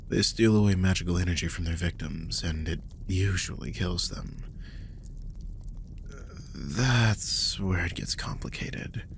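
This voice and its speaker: A man playing a protective, guilt-ridden magical guardian in an intimate roleplay audio drama, speaking softly and hesitantly in a husky, breathy voice that often drops toward a whisper. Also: sits low, slight background noise, heavily acted. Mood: remorseful, explaining. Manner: soft, hesitant, soothing, with frequent sighs.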